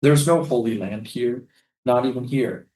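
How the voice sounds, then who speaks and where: A man's voice: medium pitch, measured pace, darkish tone, roomy sound, natural voice, low-quality recording. A man in a staged play reading, acting a doomed, solemn prisoner who is a loving, poetic father. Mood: gentle, resolute.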